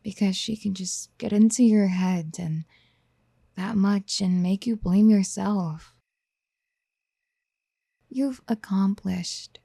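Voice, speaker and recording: Woman playing a clingy motherly type for asmr roleplay, soft breathy half whispers, slow, close dry sound, soothing and doting. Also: mid-range voice, no room sound. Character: doting, possessive motherly woman comforting someone younger.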